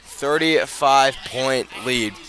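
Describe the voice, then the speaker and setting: A man doing live radio play-by-play of high school football, often halting and correcting himself as he goes. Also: fairly bright tone, faint music behind. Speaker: play-by-play sports announcer.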